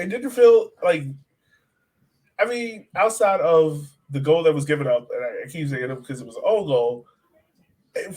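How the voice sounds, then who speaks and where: A man with a slightly high-pitched voice talks through sports analysis casually. He sounds conversational, like a podcast co-host who likes to toss questions across the table. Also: husky, poor audio. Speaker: co-host of a soccer fan podcast.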